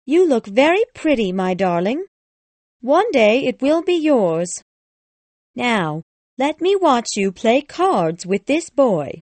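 Storybook role: haunting old jilted bride, high lilting falsetto, slow, put on by a male narrator. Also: sing-song, a little mellow, dry recording, heavily acted. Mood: commanding. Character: eerie old jilted bride, proud and bitter. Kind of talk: audiobook reading.

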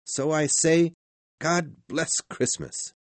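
A man voicing a cheerful, warm-hearted young nephew in a storybook, earnest and exclaiming as he pleads with his uncle. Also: a little high, slow pace, dry recording, pitched above the performer's own voice. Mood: earnest, joyful. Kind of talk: storybook character voice.

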